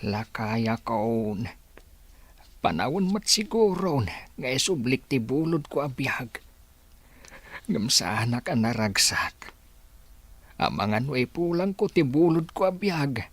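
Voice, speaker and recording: A man with an Ilocano accent playing a frail, sorrowful old village father in a radio drama, speaking slowly and pleadingly. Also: medium pitch, lively intonation. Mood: sad, weary. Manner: slow, emotional, pleading. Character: frail, sorrowful old village father near death, longing for his daughter.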